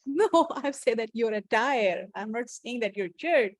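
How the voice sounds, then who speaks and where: A woman's voice: fairly high pitch, expressive, measured pace, no room sound, clean studio sound. A woman whose first language is Urdu, chatting hesitantly on a video call and stammering as she starts her sentences. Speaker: meeting participant. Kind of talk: casual video-call chat.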